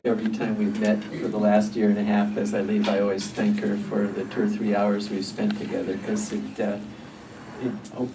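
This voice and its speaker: Man, appreciative remarks at a talk, lowish voice, distant, noisy. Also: little pitch movement, moderate pace. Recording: distant with clear noise.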